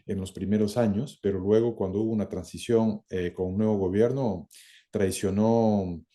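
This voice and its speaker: Male interview guest, middle-aged, low and very dark voice, halting, reflective delivery. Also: fairly even intonation. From born in France, lived some twenty years in Ecuador. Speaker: interview guest, academic and former government minister.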